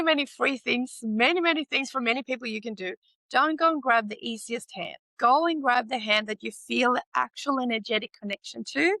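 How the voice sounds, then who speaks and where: A woman from Sweden gives blunt, insistent advice and hammers points home by repeating them and swearing freely. Her voice has a gravelly fry to it. The sound is dry and close, with no room around it. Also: fairly high pitch, a bit bright, no room sound. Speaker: podcast host and teacher. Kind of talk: motivational monologue.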